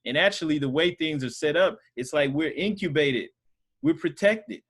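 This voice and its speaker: A man's voice: on the high side, moderate pace, a bit bright, husky. A man casually teaching a Sunday school group over a video call, talking loosely with lots of fillers. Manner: loose and conversational, many fillers.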